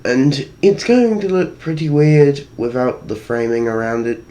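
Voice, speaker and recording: A man's voice: medium pitch, expressive, unhurried, warm tone. Man in a put-on British accent. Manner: rambling, jokey, self-deprecating. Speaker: cosplay maker. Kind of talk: how-to tutorial vlog. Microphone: voice muffled inside a costume helmet.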